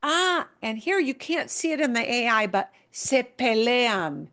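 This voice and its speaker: A woman's voice: a little high, big pitch swings, measured pace, a bit bright, soft background music. A woman teaching a language class, patiently explaining and repeating words. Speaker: Spanish teacher.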